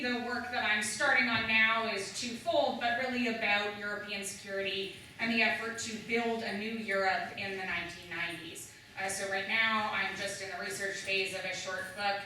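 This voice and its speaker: A woman's voice: medium pitch, bright, forceful tone, a little hiss or hum. A female historian from Canada speaking as a guest at an academic panel, fluent and lightly emphatic. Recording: echoey room, far from the mic.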